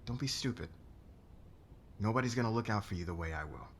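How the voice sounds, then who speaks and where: A man playing a demanding, defensive boss with a hot temper and a hidden crush, halting and intense, in an ASMR romance roleplay. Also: fairly low pitch, animated, slight background noise. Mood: pushy, insistent.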